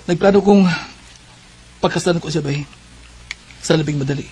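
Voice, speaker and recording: A man's voice: on the high side, normal speed, noisy background, close to an everyday voice. A Filipino man with a Cebuano accent acting an earnest, devoted young suitor in a radio drama, emphatic in his delivery. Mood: sincere.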